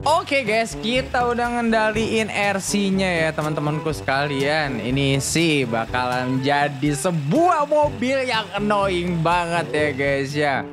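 A man's voice: fairly high pitch, animated, slow pace. A man doing playful, slangy gameplay commentary as a YouTube host. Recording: music behind the voice.

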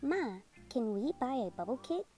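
Lady doing a curious little storybook boy, excited and kinda high, music underneath. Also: normal speed. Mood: eager. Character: curious, eager young boy twin.

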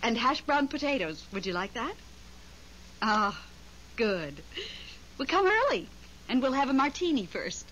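An actress playing a coy, chatty widow in a 1970s radio drama. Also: female voice, high-pitched, sing-song, measured pace, long pauses, fairly bright tone, exaggerated voice. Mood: inviting. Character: friendly, coy widow on the telephone. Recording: muffled 1970s radio recording, faint noise.

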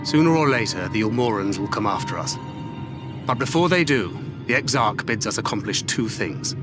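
An actor playing a stern, weary warrior and guardian in a video game, speaking in a measured, formal, terse way. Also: male voice, fairly low pitch, expressive, lightly acted. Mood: grave, measured. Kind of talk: dramatic video game voice acting. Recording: music behind the voice.

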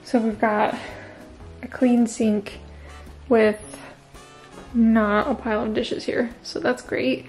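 A woman's voice: fairly high pitch, flat delivery, slow, pauses often, darkish tone. A woman chatting casually in an upbeat way as she narrates a home vlog. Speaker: vlogger, host. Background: music.

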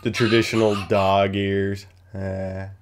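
A man's voice: low voice, flat delivery, takes it slowly, soft background music. A man in his thirties giving a casual, playful screen-recorded streaming tutorial in a goofy, chatty way. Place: small home room with gaming setup, indoors.

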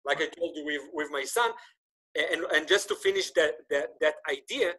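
A man in his thirties whose first language is Hebrew, hosting a podcast over a video call, talking with animated gestures and frequent restarts. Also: on the high side, moderate pace, low-quality recording. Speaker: podcast host. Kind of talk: casual interview chat. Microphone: video call webcam.

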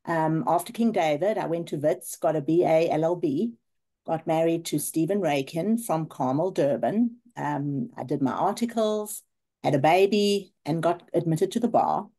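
A woman's voice: low-pitched, expressive, moderate pace, dark tone. A woman in her fifties with a South African accent, giving a partly prepared personal life update to a group on a video call. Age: 50s. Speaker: class reunion participant.

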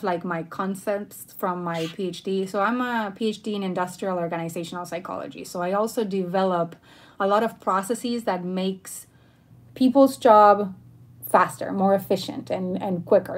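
Chatty woman from Argentina, livestreaming. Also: medium pitch. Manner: informal, chatty, friendly address to viewers. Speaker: livestream host. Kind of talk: casual livestream chat, answering viewer questions. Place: bedroom at home, indoors.

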